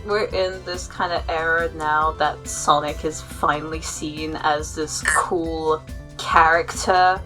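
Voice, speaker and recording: Bright-voiced woman in casual chat. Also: deep voice, level tone, slow pace, faint music behind. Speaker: co-host.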